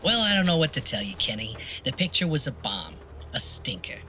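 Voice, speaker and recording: An actor playing a smug, cynical 1940s film critic in a noir audio drama, sneering and needling in period slang. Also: male voice, medium pitch, sing-song, fairly quick, pushed and bright, a little hiss or hum. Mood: brash, dismissive.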